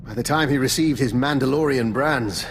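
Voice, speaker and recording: An actor playing an urbane, calculating old doctor and veteran spy in a video game, with a refined, courteous, understated manner. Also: male voice, medium pitch, normal speed, in a large hall, a little hiss or hum, close to an everyday voice. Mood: wistful, grave. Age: older. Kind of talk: video game dialogue acting.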